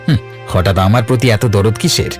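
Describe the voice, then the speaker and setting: A man voices a headstrong village uncle in a cartoon tale. He speaks quickly in a lowish, curt voice, blunt and unbending, over faint music. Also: close to an everyday voice. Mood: angry, defiant. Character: blunt, stubborn grown son and village uncle. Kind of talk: Bengali cartoon voice acting. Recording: music under the voice.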